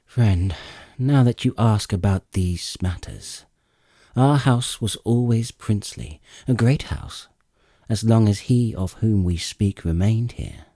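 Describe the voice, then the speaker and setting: A man voicing a thoughtful, mournful young prince, grave and measured, in an epic audiobook reading. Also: fairly low pitch, mellow, no room sound, clean studio sound. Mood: grave, lamenting.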